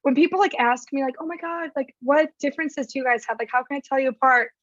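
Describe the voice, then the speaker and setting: A raspy-voiced young woman talks in a loose, rambling way, packing her sentences with fillers. Her voice sits fairly high. The sound is dry and slightly muffled. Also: fast, no room sound. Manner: chatty, heavy use of "like". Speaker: podcast guest. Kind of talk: casual chat.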